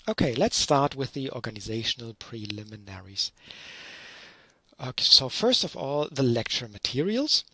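Middle-aged German man, university lecturer, casual home recording, mid pitch, dry sound. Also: moderate pace, husky, no echo. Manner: relaxed, explanatory, with frequent fillers. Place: small room at home, curtain behind. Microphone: headset.

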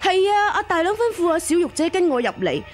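A meddling matchmaker in a radio drama, with a Hong Kong Cantonese accent. Her high voice is chatty and ingratiating, with faint music behind. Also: sing-song, quick pace. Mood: sympathetic, gossipy. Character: gossipy, meddling female matchmaker.

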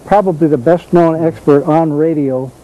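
Older american man hosting a chat show, kinda high for a guy but soft dark tone, stumbles a lot. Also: fairly even intonation, dull audio. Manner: halting, searching for words. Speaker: talk show co-host. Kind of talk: informal talk show hosting. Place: community television studio.

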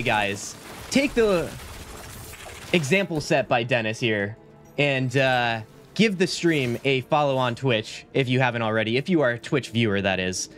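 Male streamer, 30s, relaxed rambling delivery, mid-pitched, a bit under the weather, faint game music. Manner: laid-back, rambling, says "like" and "dude" a lot. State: sick, voice slightly deeper. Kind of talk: casual game stream chat. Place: small home room. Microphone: studio mic at desk, webcam framing.

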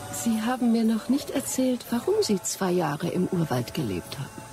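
An actress playing a curious young lady traveller, polite and gently mocking, in a German radio drama. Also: medium pitch, very animated, normal speed, soft background music. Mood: intrigued.

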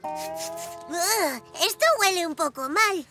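An actor voicing a curious, playful five-year-old zebra boy in a cartoon dub, with a childlike, expressive delivery. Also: very high voice, takes it slowly, music in the background. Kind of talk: Spanish-dubbed preschool cartoon acting.

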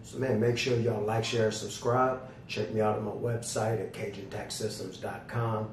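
A slightly hoarse man giving a casual, folksy product review straight to his viewers. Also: on the low side, flat delivery, normal speed. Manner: folksy, colloquial, addressing viewers directly. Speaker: product reviewer, channel host. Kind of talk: casual chatty holster video review. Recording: roomy, distant mic with loud background noise.

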